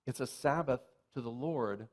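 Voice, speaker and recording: Chatty self-mocking male pastor. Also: medium pitch. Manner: chatty, self-deprecating, many restarts and asides; reads scripture slowly and solemnly. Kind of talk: sermon.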